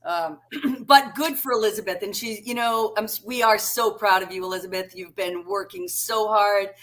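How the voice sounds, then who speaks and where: A woman's voice: mid-range voice, normal speed, a little hiss or hum. A woman with a Massachusetts accent co-hosting a casual chat over a video call, talking in a chatty way and often asking "right?". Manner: chatty, warm, seeks agreement with frequent "right?". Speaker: co-host.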